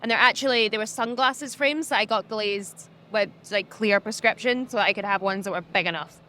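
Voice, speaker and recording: A young woman with a high-pitched voice, talking candidly as a podcast guest, animated and laughing often. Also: fairly quick. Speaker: podcast guest, social media business owner. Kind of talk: candid conversational interview.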